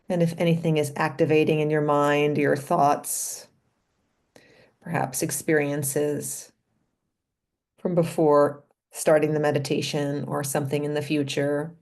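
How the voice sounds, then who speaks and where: Low-pitched, mellow woman guiding a meditation. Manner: gentle, unhurried, pausing between short phrases. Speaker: meditation leader and physician. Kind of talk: guided meditation.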